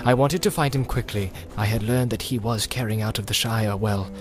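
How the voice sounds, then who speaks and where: Man acting a grim, low-voiced wanderer, music behind. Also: lightly acted. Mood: frank. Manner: measured and guarded, often dropping to a hush. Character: grim, secretive, world-weary male wanderer of the wilds. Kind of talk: audiobook character acting.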